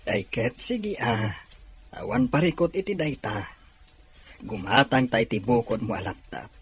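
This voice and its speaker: A man's voice: medium pitch, animated, unhurried, breathy edge. A man playing a kindly, agreeable farm boss and father in an Ilocano audio drama. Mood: supportive. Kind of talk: Filipino radio-drama dialogue in Ilocano.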